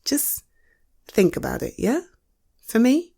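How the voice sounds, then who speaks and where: A British woman playing a caring, supportive partner in an intimate conversational audio roleplay, speaking softly with a gentle, hesitant, coaxing manner. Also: a little high, huge pitch swings, takes it slowly, creaky, husky, exaggerated voice. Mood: encouraging, caring.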